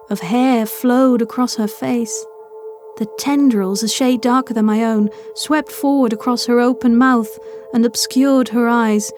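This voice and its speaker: A woman reads a first-person horror tale in a fairly high voice. Her delivery is measured and dramatic, with a haunted feel. Atmospheric music plays clearly behind her. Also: a little mellow. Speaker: audio fiction narrator. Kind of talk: scripted first-person horror story narration. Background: atmospheric new-age music.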